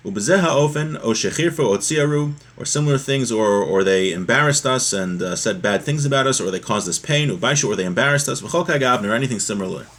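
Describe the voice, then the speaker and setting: A man's voice: lowish voice, expressive, fast, few pauses, fairly bright tone. Conversational man teaching religious text. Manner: conversational, explanatory, rephrasing key points with everyday examples. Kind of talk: informal text study, reading aloud and explaining to a livestream audience.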